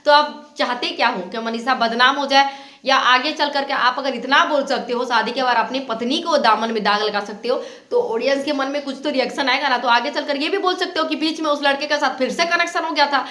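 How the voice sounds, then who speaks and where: A woman's voice: high voice, brisk, pushed and bright, reverberant. A woman giving opinionated reaction commentary as a channel host, reproachful and insistent, often repeating her points.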